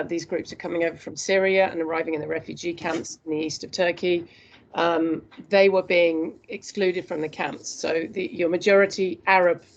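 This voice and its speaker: A woman presenting her postgraduate dissertation research in an earnest, steady academic talk, with frequent hesitations. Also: lowish voice, dull audio.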